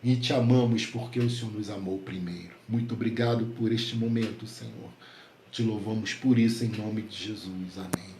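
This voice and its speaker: Pastor guy with a stuffy nose from a cold, mellow dark voice, praying with lots of o god's, echoey room with a little hiss. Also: fairly low pitch, steady tone, normal speed. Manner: fervent prayer full of repeated invocations. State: nasal from a head cold. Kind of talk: prayer and devotional address. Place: home. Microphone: headset. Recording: echoey room, faint background noise.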